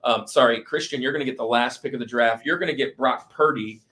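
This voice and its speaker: A man's voice: mid-range voice, fast talker, pushed and bright. Man hosting a casual podcast. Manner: repeats phrases for emphasis. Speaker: podcast host. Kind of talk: casual talk show banter.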